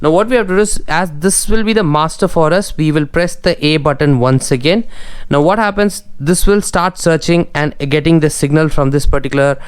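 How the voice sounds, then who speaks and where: A man's voice: on the high side, fairly quick, smooth. A man with a South Indian Tamil accent giving a casual, explanatory product tutorial, often repeating himself as he goes. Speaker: product demonstrator.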